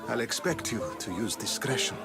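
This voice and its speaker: Casting: authoritative, guarded Athenian leader for a game, medium pitch with expressive swings, loud music behind. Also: male voice, vocal fry, over a noisy crowd. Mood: grave. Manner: formal, deliberate, authoritative. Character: measured, commanding male statesman of ancient Athens, cautious. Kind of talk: video game voice acting.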